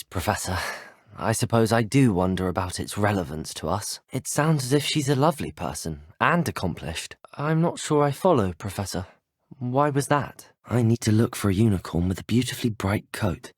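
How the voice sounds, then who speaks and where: A man's voice: fairly low pitch, breathy edge, no echo, studio quality. A young man with a British accent, acting as a polite, curious teenage wizard schoolboy in a video game, speaking in a courteous, formal, well-spoken way. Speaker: voice actor for a player character. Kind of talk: video game character dialogue.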